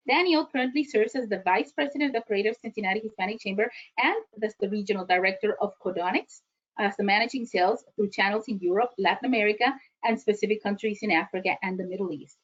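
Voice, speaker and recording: A woman hosting a virtual event, thanking and formally introducing speakers over an online call. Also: medium pitch. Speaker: event host. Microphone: online video call. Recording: slightly dull online call audio.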